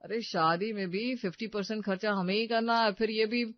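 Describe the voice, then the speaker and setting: A woman's voice: medium pitch, fairly quick, no room sound, close to an everyday voice. A woman voicing a disapproving, money-minded elder brother in an audio story, stern and objecting. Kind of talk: Hindi audio story acting. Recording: slightly dull, dry studio recording.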